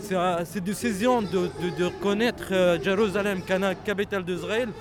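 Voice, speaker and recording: A man from Palestine, speaking French haltingly and passionately in a street interview, often raising his voice. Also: sits high, steady tone, music in the background. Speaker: protester interviewed at a rally. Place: outdoor street demonstration. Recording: crowd noise at a street protest. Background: crowd of protesters.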